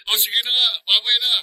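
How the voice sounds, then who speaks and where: An actor playing a cocky, taunting rival lover in a radio drama, teasing, smug and provoking. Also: male voice, very high-pitched, sharp tone, full character voice, low-quality recording.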